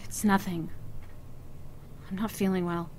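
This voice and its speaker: A woman's voice: fairly low pitch, steady tone, unhurried, a little mellow, a little hiss or hum, close to an everyday voice. A woman playing a curt, exasperated girlfriend in a comic musical audio drama. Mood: subdued. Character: Sarah, exasperated, evasive girlfriend.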